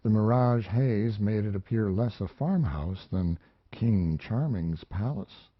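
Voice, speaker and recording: A man's voice: on the low side, takes it slowly, no room sound, muffled recording. A man reading literary fiction as a scripted audiobook narration.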